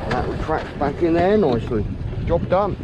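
A man's voice: on the high side, animated, moderate pace, highs cut off. A man from England talking casually and bluntly as he shows off gear on his small motorboat, recorded outdoors at sea on an action camera. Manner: chatty, blunt, mild swearing. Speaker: boat owner demonstrating an autopilot. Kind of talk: casual talk-through while boating. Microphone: action camera on the boat. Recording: wind-buffeted action camera, loud engine and water. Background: loud wind and engine noise.

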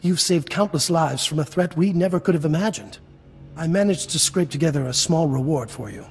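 A man playing a friendly, earnest middle-aged small-town mayor in a video game, warm, effusive and a little hesitant. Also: a little high, a little fast, slightly rough. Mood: grateful.

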